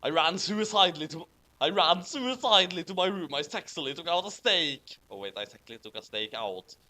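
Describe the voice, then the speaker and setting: A man's voice: on the high side, expressive, fairly quick, pushed and bright. A man hosting a comedic fanfiction reading, mixing read-aloud lines with casual commentary.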